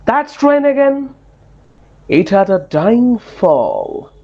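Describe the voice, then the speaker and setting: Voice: mid-range voice, sing-song, drawn-out words, dull audio, exaggerated voice. A performer playing a lovesick, grandly romantic duke in a theatrical Shakespearean dramatic reading, with declamatory, swooning delivery. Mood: lovesick, yearning.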